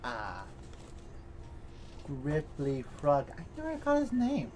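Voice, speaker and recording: A woman's voice: sits low, huge pitch swings, slow, a little mellow, a little hiss or hum. A woman playing a tabletop game, speaking in a giggly, playful, childlike way. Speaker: player. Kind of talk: in-character tabletop role-play.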